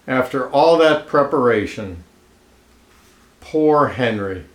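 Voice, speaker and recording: A man reading a children's picture book aloud expressively, pausing to comment and ask listeners questions, in an echoey room. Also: mid-range voice. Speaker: storybook reader. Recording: roomy, echoey indoor sound.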